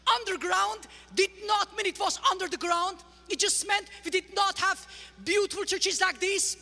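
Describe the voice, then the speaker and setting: Armenian-accented woman guest speaker, very high and bright, gravelly edges, church hall reverb. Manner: lively, humorous, teaching the crowd. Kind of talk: church testimony. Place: large church sanctuary. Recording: large church hall reverb with faint music.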